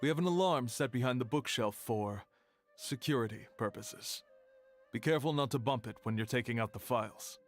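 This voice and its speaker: A man's voice: medium pitch, fairly quick, close to an everyday voice. Male game archive keeper, acted: serious, terse, guarded, medium register, light music underneath. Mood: businesslike. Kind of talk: scripted game dialogue.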